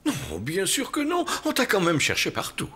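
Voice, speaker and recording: A man's voice: sits high, huge pitch swings, normal speed, bright, forceful tone, breathy edge, exaggerated voice. A man voicing a kind, awkward dog doctor in a children's cartoon, speaking in an earnest, hesitant way. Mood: gentle. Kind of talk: French-dubbed children's cartoon acting. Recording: music behind the voice.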